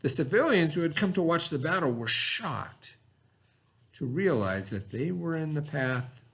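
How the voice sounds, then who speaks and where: A man reading aloud like a teacher to pupils, pausing to explain words and put questions to his listeners. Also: on the high side, sing-song, a bit bright. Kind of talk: educational read-aloud with asides and questions.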